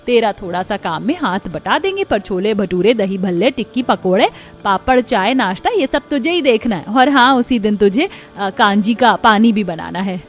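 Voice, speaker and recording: A woman's voice: mid-range voice, lightly acted. A woman playing a demanding, wealthy mother-in-law who gives instructions in a cartoon story. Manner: bossy, instructing. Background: music.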